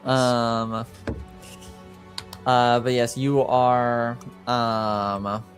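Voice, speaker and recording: A man narrating a tabletop game as game master, unscripted, in a halting and measured manner. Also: mid-range voice, flat delivery, faint music behind.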